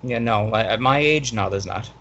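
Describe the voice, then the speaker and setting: A man's voice: lowish voice, a bit bright, muffled recording. A man in his thirties, a podcast guest, talking defensively in casual chat. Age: 30s. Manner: rapid, defensive storytelling.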